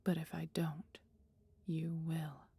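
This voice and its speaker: A woman voicing a caring, protective female dragon in an intimate, breathy ASMR roleplay, with gently formal, old-fashioned speech. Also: sits low, flat delivery, slow pace, no echo, exaggerated voice. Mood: grave, reluctant. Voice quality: breathy, whispery.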